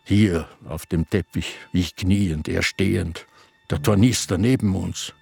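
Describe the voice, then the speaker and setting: An actor with a Viennese accent playing an elderly man in a sanatorium who slowly broods over his memories, halting and confessional. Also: low-pitched, fairly even intonation, vocal fry, darkish tone, husky, no echo, heavily acted. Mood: brooding memory. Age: older. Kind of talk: radio play acting with inner monologue.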